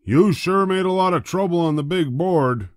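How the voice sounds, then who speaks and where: A man's voice: sits high, normal speed, dry recording, studio quality, pitched above the performer's own voice, lightly acted. A man voicing an irate, bossy, scolding trainmaster in a children's storybook.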